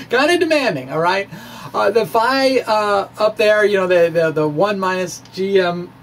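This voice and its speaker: A man's voice: high voice, slow. Middle-aged man, noisy home recording. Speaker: science video blogger. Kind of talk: informal physics explanation to camera. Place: small indoor room with bookshelves. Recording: clear steady background noise.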